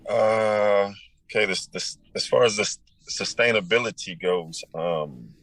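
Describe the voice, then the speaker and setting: A man with a deep, powerful voice, answering interview questions in a relaxed, thoughtful way with many pauses. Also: no room sound. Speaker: musician guest.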